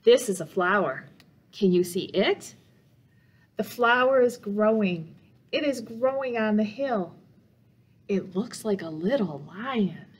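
A middle-aged woman, a children's librarian reading a picture book aloud in simple, deliberate phrases for young listeners. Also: medium pitch, animated, slightly rough. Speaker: children's librarian and storytime host. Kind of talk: children's storybook read-aloud. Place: small office room indoors.

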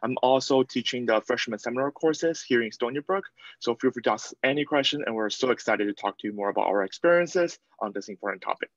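A man's voice: medium pitch, animated, fast, no room sound. Man panelist on a dull, dry call. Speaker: research specialist, panelist. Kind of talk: panel introduction on video call. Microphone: video call.

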